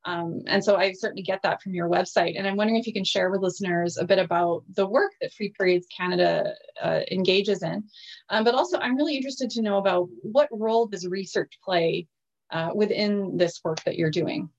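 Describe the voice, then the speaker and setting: A woman hosting a podcast interview over a video call, measured and grateful toward her guests. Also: medium pitch, muffled recording. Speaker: podcast host.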